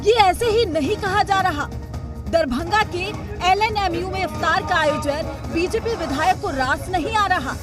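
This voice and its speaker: A woman's voice: very high voice, measured pace, over music, a crowd in the background. A woman delivering a scripted television news report voice-over.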